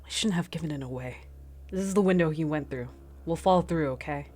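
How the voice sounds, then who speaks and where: A woman's voice: low voice, a little fast, darkish tone. A woman playing a determined, protective starship captain under strain in a radio drama, speaking with urgency. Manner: urgent, resolute.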